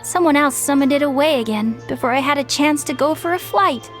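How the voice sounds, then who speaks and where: Woman doing a high bouncy young warrior girl, earnest, game music loud-ish behind. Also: lightly acted. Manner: lively, quick to surprise.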